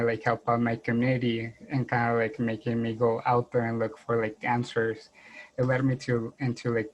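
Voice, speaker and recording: A man's voice: mid-range voice, slight background noise, rough recording. A young man, a doctoral student on a panel, telling his path hesitantly with many fillers, heard over a video call. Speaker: biostatistics PhD student panelist. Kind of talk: panel talk, personal story.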